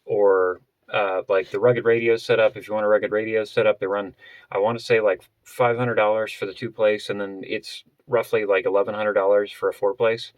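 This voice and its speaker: A man vlogger explaining gear in a casual, unscripted way, halting and often rephrasing as he goes. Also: lowish voice, flat delivery, no room sound, poor audio. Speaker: vlogger presenting a budget gear setup.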